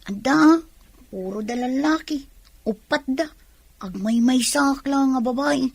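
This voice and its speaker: A woman's voice: sits high, unhurried, vocal fry, breathy edge, dry recording, full character voice. A woman playing a wistful young student in a radio drama, earnest and confiding.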